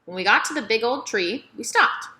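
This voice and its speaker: Woman, storybook narrator, scripted read-aloud, bright timbre, animated intonation, medium pitch. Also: normal speed. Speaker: storybook reader. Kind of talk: scripted children's novel narration.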